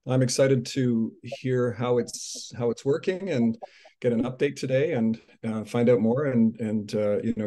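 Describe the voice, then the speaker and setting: A man introducing himself on a video call, a charity supporter who speaks hesitantly with frequent pauses and restarts. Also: mid-range voice, warm tone. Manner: hesitant, many fillers and restarts. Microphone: webcam on video call.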